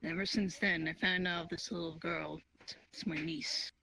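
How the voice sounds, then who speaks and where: A woman playing a guarded, reticent werewolf wanderer in an online roleplay, with a husky voice. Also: on the low side, steady tone, moderate pace, sharp tone, a little hiss or hum, low-quality recording. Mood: matter-of-fact.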